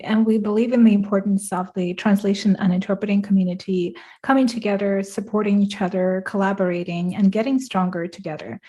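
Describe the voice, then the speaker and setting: A middle-aged woman from Russia whose first language is Russian, co-hosting a podcast. She talks in an upbeat, chatty way and laughs often while she tells her stories. Her voice sits at an ordinary pitch. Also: mellow. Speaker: podcast co-host. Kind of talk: live podcast chat, storytelling.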